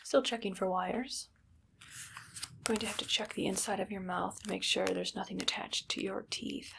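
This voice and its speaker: A woman playing an evil, vain, paranoid doctor in an ASMR roleplay, speaking in a soft, hushed whisper with a calmly menacing manner. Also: mid-range voice, moderate pace, slight background noise. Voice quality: soft, whispery, with creaky fry.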